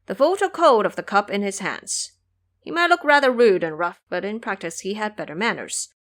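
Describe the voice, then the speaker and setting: A woman's voice: mid-range voice, lively intonation, normal speed, a bit bright. A woman narrator telling a scripted fantasy story in an audio drama style.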